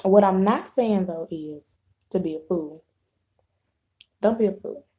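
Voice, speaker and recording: Casting: hoarse woman vlogger, slow easy delivery, mellow dark tone, casual live chat. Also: mid-range voice. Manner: unhurried, rambling, clears throat, frequent restarts. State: hoarse, losing her voice, sore throat. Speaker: vlogger hosting a live video.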